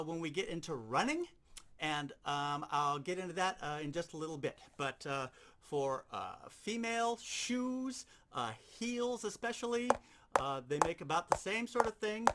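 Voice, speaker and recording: A man's voice: high voice, fairly bright tone, slight background noise. A man giving an informal instructional demonstration, explaining things step by step. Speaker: sound effects instructor.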